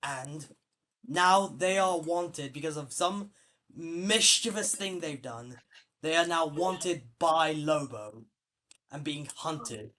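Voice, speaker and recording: A man's voice: fairly high pitch, takes it slowly, sharp tone. A man hosting a casual film-talk podcast, rambling with lots of fillers and restarts. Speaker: podcast host.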